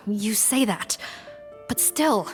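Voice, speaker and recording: A serious, dutiful officer from a video game. Her voice sits at a medium pitch. She is formal and firm, with a protective edge, over soft background music. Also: lively intonation, very slow. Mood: concerned.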